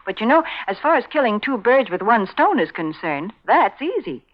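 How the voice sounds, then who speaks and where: A woman co-hosting 1940s radio as announcer, giving a prim, polished sponsor pitch. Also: big pitch swings, moderate pace. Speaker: commercial announcer and co-host. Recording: dull vintage 1940s radio recording.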